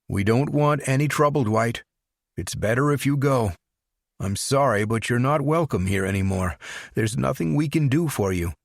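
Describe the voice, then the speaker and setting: A man's voice: medium pitch, animated, normal speed, gravelly, dry recording, clean studio sound, voice pushed up. A man voicing an older father pleading with his dangerous son.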